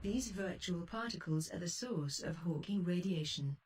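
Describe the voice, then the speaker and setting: A woman voicing a composed, intellectual female ship computer in a sci-fi game, speaking in formal, measured phrases. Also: fairly low pitch, expressive, darkish tone, distant mic, close to an everyday voice. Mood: thoughtful. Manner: formal, measured commands and explanations. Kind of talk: scripted sci-fi game voice pack.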